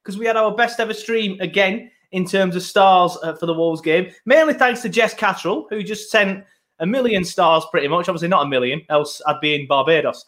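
Male host, highish voice, rambling chatty style, laptop recording. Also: a little fast, a bit bright. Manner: chatty, rambling with many ums. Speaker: podcast host. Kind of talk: casual football podcast chat. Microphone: laptop setup, video podcast.

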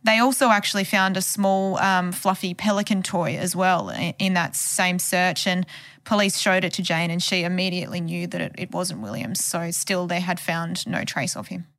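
A woman's voice: lowish voice, moderate pace, fairly bright tone, no echo. Australian woman discussing a case, dry studio sound. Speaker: podcast journalist explaining a case. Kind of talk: conversational true crime podcast discussion.

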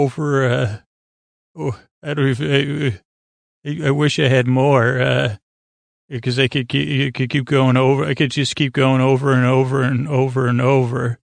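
Guy doing a sleep podcast, creaky soothing voice, rambles and stumbles around, very dry close sound. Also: mid-range voice, no echo. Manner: meandering, hesitant, over-explaining; soothing, lulling. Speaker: podcast host.

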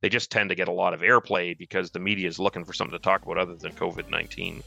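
A man with a Canadian accent co-hosting a podcast, speaking in a quick, wry, matter-of-fact way. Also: low voice, fairly even intonation, vocal fry, bright, forceful tone, faint music behind. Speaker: podcast co-host. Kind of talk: conversational podcast discussion.

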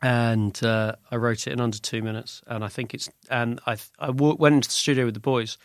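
A British man speaks as a musician being interviewed, with a creaky edge to a mid-pitched voice. He talks informally and loosely, circling back to correct himself. The sound is dry, with very little room in it. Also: steady tone, brisk, dry recording. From Britain. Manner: rambling, self-correcting, informal. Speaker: musician guest, singer-songwriter. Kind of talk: casual interview chat.

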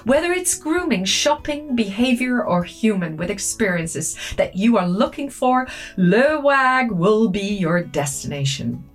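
A woman's voice: mid-range voice, sing-song, a little slow, hardly any pauses, faint music behind. Chatty, enthusiastic woman hosting a podcast. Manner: enthusiastic, chatty, with frequent restarts. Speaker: podcast host and dog yoga teacher. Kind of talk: casual interview chat.

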